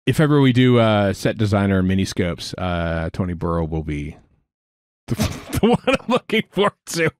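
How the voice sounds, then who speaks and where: A man with a somewhat low voice, co-hosting a chatty podcast. He rambles and halts, circling back with fillers. His voice is dry and close, with no room sound. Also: big pitch swings, moderate pace, darkish tone, no room sound. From United States. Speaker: podcast co-host. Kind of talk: casual chat.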